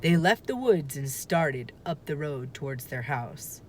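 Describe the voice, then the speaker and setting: A woman reading a children's story aloud in a deliberate, expressive narrating style. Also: low voice, slight background noise. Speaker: storybook reader.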